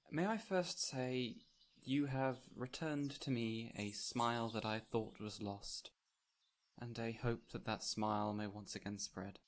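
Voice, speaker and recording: A man playing a gentle, whimsical fey spirit in an audio roleplay, speaking in a theatrical, formal, old-fashioned way straight to the listener. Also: mid-range voice, lightly acted. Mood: grateful, tender. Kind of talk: intimate audio roleplay, addressing the listener directly. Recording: clear ambient noise with birdsong. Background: birdsong and forest ambience.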